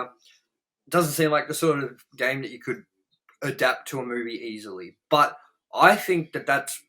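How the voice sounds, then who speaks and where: A man with a bright, forward voice talks through an opinionated review as a podcast host. His delivery is casual and rambling, blunt and peppered with swearing. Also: mid-range voice, rough recording.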